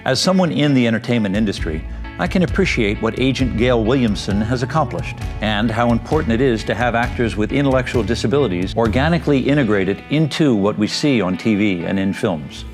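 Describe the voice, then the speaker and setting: A man's voice: medium pitch, lively intonation, fairly quick, soft background music. A middle-aged man presenting a documentary piece, mixing narration with personal reflection as a parent advocate.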